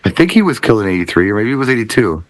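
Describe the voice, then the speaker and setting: A man's voice: fairly low pitch, sing-song, racing. A middle-aged man hosting a casual music panel chat with trivia questions. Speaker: host of a music talk show.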